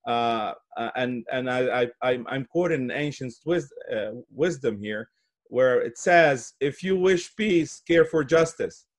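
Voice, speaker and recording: A middle-aged man from Brazil giving a presentation on a video call, speaking haltingly with repeated words and pauses. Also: medium pitch, animated. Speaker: guest speaker giving opening remarks. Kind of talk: presentation at an online summit. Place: indoor office, on a video call.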